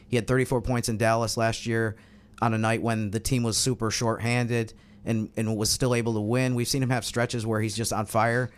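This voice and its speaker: A man's voice: medium pitch, clear, resonant tone. A man hosting a sports podcast, talking through analysis in a conversational way. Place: studio roundtable.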